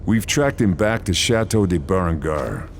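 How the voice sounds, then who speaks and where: Hard-bitten sniper, deep voice, lively delivery, faint noise. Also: male voice, moderate pace. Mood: grim. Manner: gruff, grim and resolute. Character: hard-bitten male sniper officer, determined.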